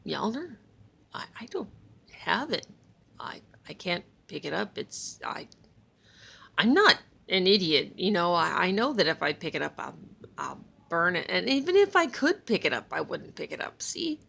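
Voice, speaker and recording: Male trickster god in a told myth, stammering and defensive, gravelly, read by a woman. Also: lowish voice, big pitch swings, moderate pace, bright, forceful tone, slight background noise, heavily acted. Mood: nervous.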